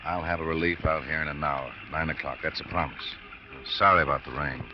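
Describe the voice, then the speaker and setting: A man's voice: rumbling low, fairly even intonation, brisk. A man playing a brusque, impatient police lieutenant in a 1950s radio drama, with a clipped, blunt way of questioning. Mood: businesslike. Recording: muffled, noisy old radio broadcast recording.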